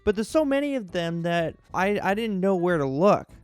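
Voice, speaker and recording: A man's voice: sits high, sing-song, normal speed, darkish tone, clean tone, no echo, faint music behind. A man hosting an online video, talking casually and chattily to his viewers.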